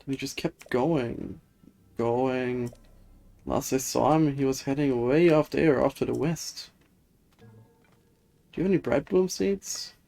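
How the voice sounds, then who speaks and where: A man's voice: medium pitch, slow, vocal fry, pauses often, mellow. A man voicing a busy, grumbly but helpful survey worker from a fantasy game, reading the dialogue aloud in a matter-of-fact way. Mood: polite, explaining.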